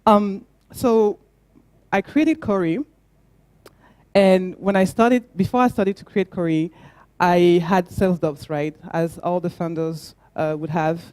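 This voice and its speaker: French woman, young founder, mellow tone, earnest talk with fillers, dry sound. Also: on the low side, fairly even intonation, slow, no echo. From France. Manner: earnest, with frequent fillers and restarts. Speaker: startup founder. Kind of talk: conference talk.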